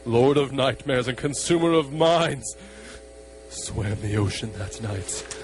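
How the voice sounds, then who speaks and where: Guy narrating a spooky radio drama, real slow and grave, bit of hum behind him. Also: mid-range voice, expressive. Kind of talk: dramatic scripted horror narration for amateur radio play.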